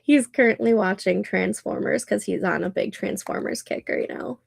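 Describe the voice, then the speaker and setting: A woman's voice: mid-range voice, moderate pace, vocal fry, husky, dry recording, clean studio sound. A woman in her thirties hosting a casual livestream, sounding nasal and congested, chatting and reading comments aloud with frequent laughs. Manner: chatty, laughs often, reads chat aloud. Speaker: livestream host.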